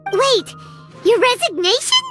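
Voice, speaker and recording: A woman's voice: very high-pitched, sing-song, a little slow, a bit bright, full character voice. A woman voicing a small, cheerful, curious fairy companion in an anime game, perky and cutesy. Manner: refers to self in third person, perky, cutesy. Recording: music playing behind the voice.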